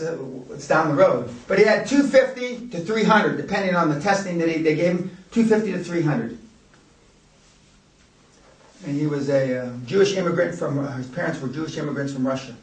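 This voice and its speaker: A man's voice: on the high side, a bit bright. A man hosting a seminar, talking brashly and rambling, with profanity. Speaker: seminar host. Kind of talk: blunt seminar talk. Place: seminar room indoors. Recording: echoey room from a distance.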